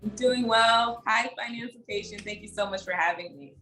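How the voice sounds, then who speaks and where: A young woman from Haiti, answering interview questions in a measured, thoughtful way with frequent pauses. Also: on the high side, sharp tone, faint music behind, low-quality recording. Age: young adult. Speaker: interview guest.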